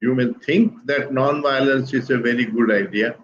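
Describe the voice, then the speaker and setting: An older man from India with an Indian English accent, giving a calm, deliberate explanation as a guest speaker. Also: mid-range voice, muffled recording, a little hiss or hum. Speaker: guest speaker on spiritual teachings. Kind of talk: measured explanatory talk.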